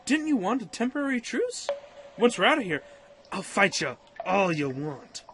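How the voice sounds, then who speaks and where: A man's voice: high-pitched, very animated, normal speed, noisy background. A man playing a cautious, earnest young pilot in a radio play, with a slightly hesitant manner. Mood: indignant.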